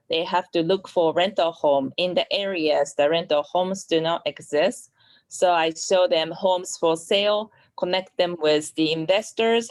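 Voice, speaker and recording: A woman realtor introduces herself to a group on a video call. Her voice sits slightly low as she explains her work to the other members. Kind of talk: self-introduction in a meeting.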